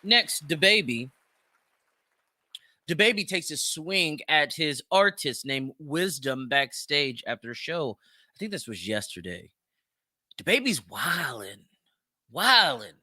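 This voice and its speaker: Very bright, high-pitched American man ranting. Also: very animated, slow pace, long pauses. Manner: blunt, profane, slangy; rhetorical questions and repetition for emphasis. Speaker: podcast host. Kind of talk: opinionated solo commentary on celebrity news.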